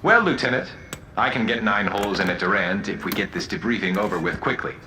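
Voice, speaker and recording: Radio drama killer: manipulative, smug, very animated delivery, roomy sound with faint noise. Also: male voice, lowish voice, fairly quick. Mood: smug, gloating. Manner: smug, sardonic. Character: manipulative male condemned killer pleading then gloating. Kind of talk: audio drama acting.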